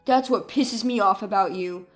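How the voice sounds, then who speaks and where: A woman's voice: mid-range voice, moderate pace, faint music behind, pitched below the performer's own voice, lightly acted. A woman voicing a cold, scornful husband in dramatised story dialogue. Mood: annoyed, scornful. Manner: scornful, belittling. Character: cold, sneering husband, adult man, easily annoyed.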